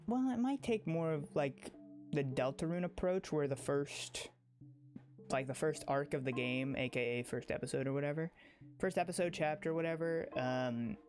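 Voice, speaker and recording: Casting: informal livestream gamer, mid-pitched voice, excitable and rambling, music playing underneath. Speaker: game streamer. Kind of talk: casual livestream chat.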